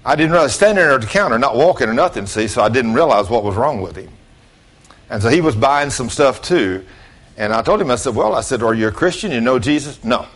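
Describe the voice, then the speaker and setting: A man's voice: medium pitch, lively intonation, quick pace, fairly bright tone. A man, giving an informal storytelling sermon in a folksy, plain-spoken way, talking straight to his listeners. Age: late 60s. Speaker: preacher.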